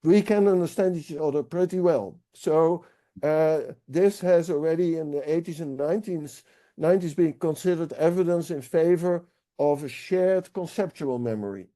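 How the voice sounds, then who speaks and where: Male researcher guest, slightly high yet very mellow tone, halting and thoughtful. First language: Dutch. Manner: thoughtful, halting with frequent fillers and restarts. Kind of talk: explanatory interview talk.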